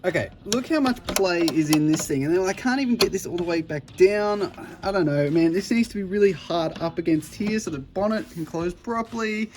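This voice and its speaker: A man's voice: high-pitched, brisk, few pauses. Offhand Australian man, DIY vlog. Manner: chatty, offhand, self-deprecating. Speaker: electrician vlogger.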